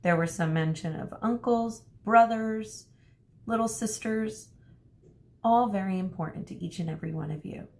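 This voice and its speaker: A woman speaking as an elementary school teacher, reading a story aloud to children and pausing to explain things. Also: medium pitch, a little mellow. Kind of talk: read-aloud lesson for children.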